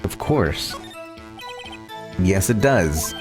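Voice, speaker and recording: A man's voice: low-pitched, lively intonation, drawn-out words, over music, exaggerated voice. A man voicing an earnest, stubborn young defense lawyer in a courtroom video game, speaking insistently. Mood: uneasy. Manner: declarative, insistent. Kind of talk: voiced playthrough of a courtroom game.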